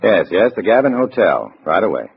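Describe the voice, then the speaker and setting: An actor playing a wary undercover agent in a 1950s radio drama, with an American accent and a measured, clipped, hard-boiled delivery. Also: male voice, low voice, sing-song, vocal fry, slight background noise, heavily acted. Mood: brisk, guarded. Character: wary male undercover agent posing as loyal party comrade. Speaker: narrator and lead actor. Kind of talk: 1950s radio drama acting, first-person narration. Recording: muffled vintage radio recording with music.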